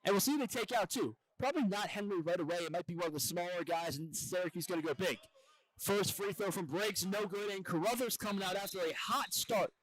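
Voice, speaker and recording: Male play-by-play announcer, high-pitched voice, live TV basketball commentary. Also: brisk, a bit bright, low-quality recording.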